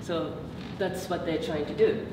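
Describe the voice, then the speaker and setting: A low-pitched woman gives a lecture in a meeting room, pausing often on fillers and restarting her sentences. She is picked up from far off, with a roomy echo and steady background noise. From Japan. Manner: hesitant, many fillers and restarts. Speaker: PhD researcher giving a talk. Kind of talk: public lecture with slides. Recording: echoey room from far off, noisy.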